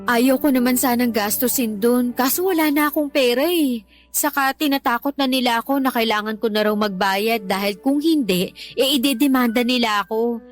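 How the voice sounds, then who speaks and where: A woman's voice: fairly high pitch, normal speed, lightly acted. An actress playing a defensive, easily irritated live-in girlfriend in a Tagalog radio drama, whining and argumentative. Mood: uneasy confessing. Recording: dramatic music bed behind the voice.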